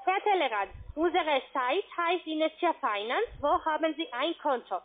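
Female telesales agent, high-pitched, foreign accent, formal scripted delivery, thin phone line. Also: lively intonation, moderate pace. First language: not German. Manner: formal, checks with short tag questions. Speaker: call centre sales agent. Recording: narrow-band phone line.

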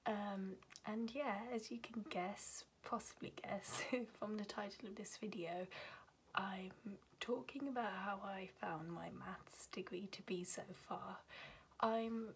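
A young woman from the UK, a university student, chatting to camera in her bedroom, her voice raspy from being ill and often half-whispered. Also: medium pitch, takes it slowly, a little hiss or hum. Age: young adult. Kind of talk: casual chat to camera. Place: bedroom at home, indoors.

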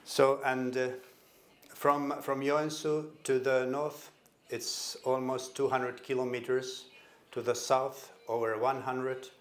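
Halting Finnish-accented older man. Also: mid-range voice, level tone, a little hiss or hum. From Finland. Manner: halting, repeats words at each restart. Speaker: conference speaker. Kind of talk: conference presentation. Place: conference hall. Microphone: stand microphone at a podium. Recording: echoey conference hall.

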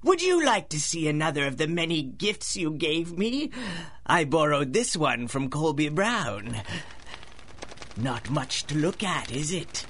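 A man's voice: fairly high pitch, big pitch swings, unhurried, fairly bright tone, breathy edge, exaggerated voice. A man playing a vengeful, menacing supervillain with a theatrical, taunting manner in a radio drama. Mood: cold, vengeful. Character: vengeful, menacing male supervillain with mind powers. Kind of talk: 1930s radio acting.

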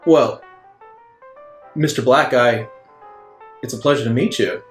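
A man's voice: mid-range voice, slow, lightly acted. A man voicing a fawning, butler-like shop clerk in his thirties for a tabletop game, formal and stammering. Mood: polite. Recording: roomy sound with faint music.

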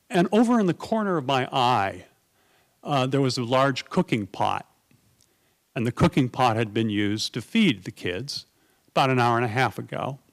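A middle-aged man from New York, speaking at a conference panel table into a stand mic, telling anecdotes in a lively, conversational way. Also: medium pitch, creaky. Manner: animated anecdotal, acts out quoted voices. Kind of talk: conversational storytelling.

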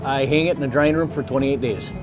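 A man's voice: mid-range voice, quick pace, music in the background. A man in his forties, a shop owner, casually and matter-of-factly explaining his products to a visitor. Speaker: grocery store owner and sausage maker. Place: small grocery store and its back room.

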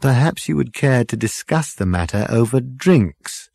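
A man's voice: mid-range voice, lively intonation, a little slow, gravelly, a little mellow, no echo, pitched above the performer's own voice. Man as a wizened, formal little alien waiter. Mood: coolly polite. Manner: polite, deferential, formal.

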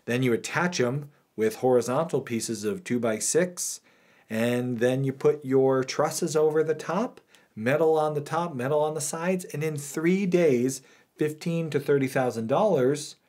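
A man in his thirties co-hosting a podcast in casual chat, animated and joking, in a small wood-panelled studio room. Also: fairly high pitch, a little slow. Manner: animated, joking, gestures a lot. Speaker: podcast co-host.

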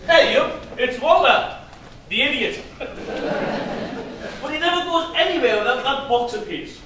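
Man playing pantomime joker, cheeky, high voice, exaggerated stage delivery, distant noisy recording. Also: expressive, a little slow. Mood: teasing. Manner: exaggerated, playful comic delivery to the crowd. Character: cheeky male village joker in pantomime, cracking jokes. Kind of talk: pantomime stage comedy, addressing the audience. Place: theatre stage, distant microphone. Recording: echoey theatre stage from far off, noisy.

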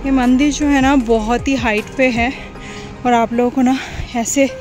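A woman's voice: fairly high pitch, fairly even intonation, measured pace, a little mellow. A young woman vlogging casually to her viewers, talking into a phone held at arm's length. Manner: chatty, addresses viewers directly, mixes in English phrases. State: out of breath after climbing stairs. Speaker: travel vlogger. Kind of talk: casual travel vlog. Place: outdoors at a hilltop temple, later an indoor mall food court. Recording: background music under the voice.

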